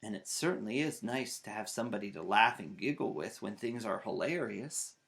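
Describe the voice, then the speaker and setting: A man voicing a patient mother bear who reasons gently in a storybook. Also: fairly low pitch, lively intonation, vocal fry. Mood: calm. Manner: quiet, measured.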